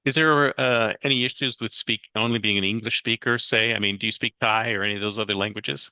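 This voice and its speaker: A man in his fifties hosting a podcast interview, agreeable and quick with short reactions. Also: lowish voice, fairly even intonation, bright, forceful tone, smooth, no room sound, muffled recording. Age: 50s. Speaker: podcast host. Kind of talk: casual interview. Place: at home in another country, on a video call.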